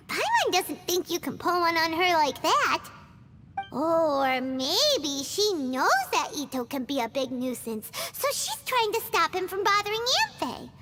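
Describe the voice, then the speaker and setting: Tiny squeaky childlike fairy companion. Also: female voice, sharp tone, in a large hall, slight background noise, heavily acted. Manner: cutesy, naive, refers to herself by name. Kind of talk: cartoonish video game voice acting.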